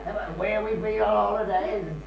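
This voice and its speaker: A man's voice: high-pitched, fairly even intonation, brisk, vocal fry, faint music behind. A man playing a maudlin drunk, echoey and distant. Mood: nostalgic, tipsy. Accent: British. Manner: rambling, slurred repetitions, self-pitying. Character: maudlin, rambling drunken man abandoned by his partner. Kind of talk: amateur stage comedy acting. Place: small theatre stage. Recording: echoey, distant stage recording with hum.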